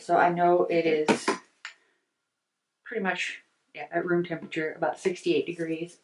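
A middle-aged woman hosting a soap-making video. She talks through each step in a chatty way while she mixes at her kitchen table, sometimes softly and sometimes brightly. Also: lowish voice, background noise. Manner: chatty, thinks aloud while mixing, varies from soft to lively. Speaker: soap-making channel host. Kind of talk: casual how-to narration while working. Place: home kitchen table, overhead camera.

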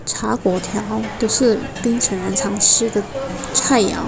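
Slow-talking woman. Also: medium pitch, steady tone, gravelly, over music. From Malaysia. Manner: unhurried, pointing out dishes one by one. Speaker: food vlogger. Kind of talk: casual food tour narration. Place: hotel buffet restaurant. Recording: restaurant noise behind the voice.

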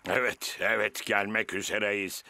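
A man's voice: on the low side, little pitch movement, measured pace, vocal fry, sharp tone, no room sound, exaggerated voice. An actor playing a hearty, boastful old grandfather dog in a dubbed cartoon. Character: cheerful old grandpa dog, sea captain. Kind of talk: cartoon dub acting.

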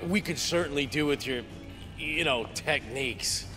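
An actor playing a blunt, casual, sweary survivor in a zombie video game. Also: male voice, medium pitch, normal speed, natural voice. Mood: impressed. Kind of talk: video game dialogue acting. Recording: game music behind the voice.

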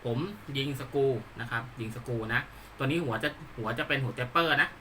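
A man giving an unscripted hands-on tutorial, explaining politely in a halting, repetitive way. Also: medium pitch, background noise. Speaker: hobbyist presenter demonstrating a DIY build.

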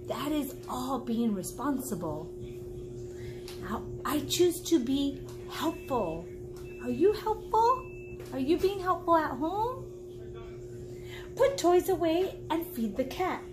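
High-pitched woman reading aloud to children. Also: very animated, slow, pauses often, background noise. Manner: encouraging, asks the listener questions. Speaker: reading teacher. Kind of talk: children's read-aloud with explanations. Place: small indoor room at home.

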